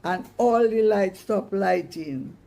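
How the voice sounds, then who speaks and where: A woman's voice: mid-range voice, slow pace, creaky, mellow, pitched above the performer's own voice. A woman voicing squabbling young tattletale girls in a storybook, insistent and talking back in Caribbean dialect. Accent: Caribbean, Dominica.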